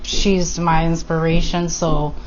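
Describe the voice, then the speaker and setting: Relaxed woman, low voice. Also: slow pace, dull audio, a crowd in the background. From Philadelphia. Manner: relaxed, brief, laughs easily. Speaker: real estate agent, guest. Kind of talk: casual interview answers.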